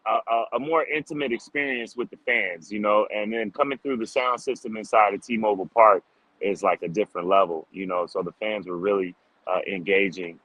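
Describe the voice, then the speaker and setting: A man's voice: on the low side, fairly bright tone, low-quality recording. A man answering interview questions casually as a street musician, upbeat and informal, often dropping in "like" and "man". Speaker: street drummer guest.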